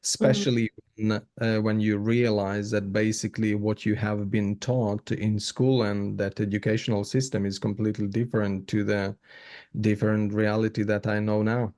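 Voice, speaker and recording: A man from Ukraine with a Ukrainian accent, giving reflective interview answers in an earnest, halting way with repeated words. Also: fairly low pitch, level tone, darkish tone. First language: Ukrainian or other non-English. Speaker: podcast guest.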